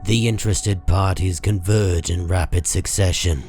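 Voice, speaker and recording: Man, low voice, slow pace, grave dramatic narration, comic book storytelling, faint music bed. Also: steady tone. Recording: music under the voice.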